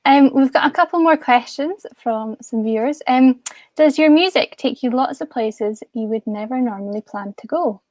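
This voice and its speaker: A woman's voice: high voice, normal speed, a little mellow, dry recording. Dry-sounding woman co-host. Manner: friendly, reads out viewer questions. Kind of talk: casual live-stream hosting.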